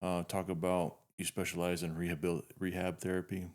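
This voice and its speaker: Deep-voiced man hosting a podcast. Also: a little fast. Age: 50s. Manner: relaxed, rambling with frequent restarts. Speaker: podcast host. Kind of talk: casual interview chat.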